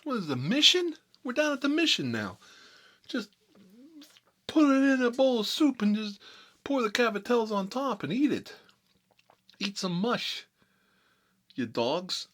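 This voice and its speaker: A man playing an exasperated mob boss in a comedy monologue, rambling in tough-guy slang and repeating himself, with a husky voice. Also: very high-pitched, big pitch swings, unhurried, pauses often, a bit bright, full character voice. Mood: outraged ranting. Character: exasperated mob boss telling family stories. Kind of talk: rambling comedic storytelling in character, between whispers and outbursts.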